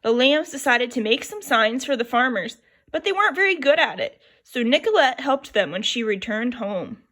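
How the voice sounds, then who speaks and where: Expressive woman reading a picture book aloud. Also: on the high side, normal speed. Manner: expressive and lively. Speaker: storyteller.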